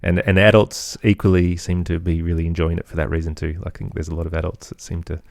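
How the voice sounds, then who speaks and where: Man, Australian accent, very low voice, dry close sound, thoughtful, halting, conversational interview guest. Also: level tone, gravelly, dry recording. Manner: thoughtful, halting, searching for words. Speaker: guest, composer and children's podcaster.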